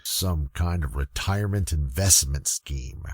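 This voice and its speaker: An earnest detective sidekick acted by a man speaks plainly in a radio mystery. The voice is very deep and husky, with a dry, close sound. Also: a little slow, no room sound, exaggerated voice. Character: younger tech-minded male police detective, earnest sidekick. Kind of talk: old time radio mystery acting.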